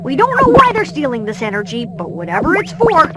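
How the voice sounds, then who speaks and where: A woman's voice: sits high, huge pitch swings, fairly quick, heavy background noise. A woman voicing a brainy, earnest boy inventor who explains things confidently in a cartoon video game. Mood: earnest explaining.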